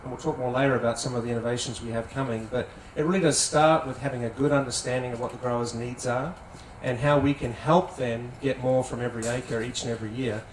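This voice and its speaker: Man, executive on a panel, quick, practiced, echoey and distant. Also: medium pitch, steady tone. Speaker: senior vice president on a panel. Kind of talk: panel discussion. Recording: roomy sound from some distance, faint noise.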